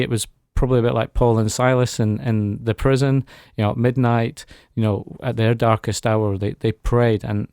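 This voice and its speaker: A man's voice: on the low side, darkish tone, no room sound, studio quality. A man speaking as a guest in a radio interview, giving an earnest, reflective personal testimony. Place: radio studio.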